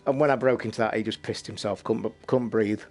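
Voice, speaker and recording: A man hosting a casual late-night livestream from his flat, talking in a rambling, blunt way and swearing freely. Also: fairly low pitch, a little fast, faint music behind. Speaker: livestream host. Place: home flat.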